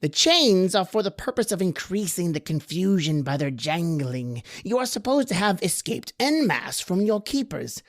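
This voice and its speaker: A woman plays a scheming little male court jester in a radio horror drama. The voice sits lowish for a woman and draws phrases out with theatrical deliberation. It is sly and persuasive, recorded very dry. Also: big pitch swings, moderate pace, few pauses, no room sound. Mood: scheming persuasion. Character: cunning crippled male court jester, slyly scheming dwarf.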